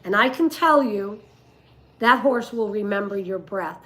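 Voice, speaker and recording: An older woman with a rough voice, telling stories and giving advice casually on a livestream, in an emphatic, deliberate way. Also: medium pitch, animated, sharp tone. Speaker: dog breeder and livestream host.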